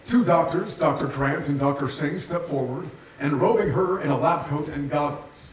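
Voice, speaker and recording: A man's voice: a little high, moderate pace, distant mic, a little hiss or hum. A man reading stage directions as scripted narration at a staged reading. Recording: very echoey theatre from afar.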